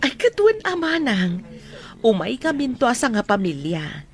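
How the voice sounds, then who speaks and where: An actress with a Filipino accent playing an excitable, affectionate family friend in a radio drama, effusive and husky. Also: female voice, a little high, moderate pace. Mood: delighted.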